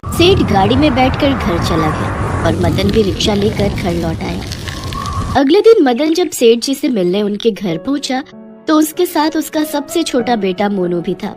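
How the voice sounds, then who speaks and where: A woman's voice: on the high side, big pitch swings, unhurried, over music. A woman narrator reading a scripted children's moral story.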